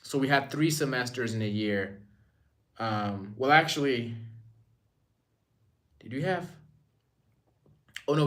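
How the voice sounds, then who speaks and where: A man's voice: mid-range voice, a bit bright. A young man from Rwanda talking casually and informally to his live-stream viewers, using slang and often repeating himself.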